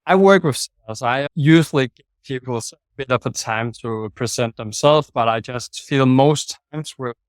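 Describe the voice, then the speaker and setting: A man's voice: mid-range voice, normal speed, few pauses, smooth, no echo. Direct Danish-accented man, dry sound. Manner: direct, self-correcting, plain-spoken. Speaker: guest, sales manager. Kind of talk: casual interview talk.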